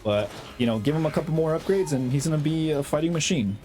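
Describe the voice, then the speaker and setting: A man's voice: on the high side, animated, fast talker, a little mellow, soft background music. Young man with a cold, hyped gameplay chatter. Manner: hyped, chatty, slangy, lots of fillers. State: has a cold, slightly hoarse. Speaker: gaming video host. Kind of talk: casual gameplay commentary.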